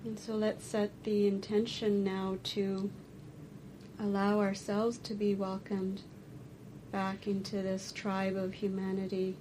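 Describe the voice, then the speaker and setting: A woman guides a meditation in a mellow, medium-pitched voice. Her delivery is very flat and deliberate, broken into short phrases with pauses. Faint noise sits behind her. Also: rough recording. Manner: slow, deliberate, pausing between short phrases. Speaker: meditation teacher. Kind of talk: guided meditation and dharma talk.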